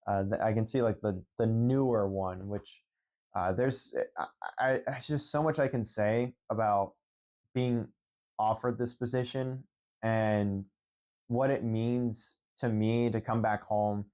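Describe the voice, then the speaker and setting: A man's voice: on the low side, steady tone, slow pace, a little mellow. A young man from Central Pennsylvania talks unscripted into his phone at home, rambling with many restarts and fillers. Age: young adult. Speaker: esports head coach. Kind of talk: unscripted vlog monologue.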